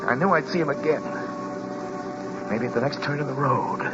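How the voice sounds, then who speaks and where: An actor playing a haunted, anxious American motorist, intense and dramatic, in old-time radio drama style. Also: male voice, medium pitch, lightly acted. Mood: brooding dread. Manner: intense, dramatic, halting when addressing others. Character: haunted, anxious male motorist telling his story. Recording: muffled vintage radio recording, loud music.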